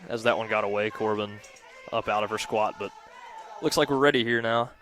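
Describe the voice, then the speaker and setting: A young man giving conversational color commentary on a live softball broadcast. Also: fairly low pitch, brisk. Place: outdoors at a softball field.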